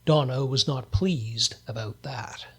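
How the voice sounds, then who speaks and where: A deadpan narrator of a comedy radio play, voiced by a man. His husky, mid-range voice delivers scripted storytelling with a straight face. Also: medium pitch, takes it slowly.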